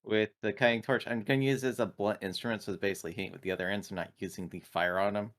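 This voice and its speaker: A man's voice: on the low side, fairly even intonation, racing, fairly bright tone. A man talking casually as a player in a tabletop roleplaying game, describing his moves.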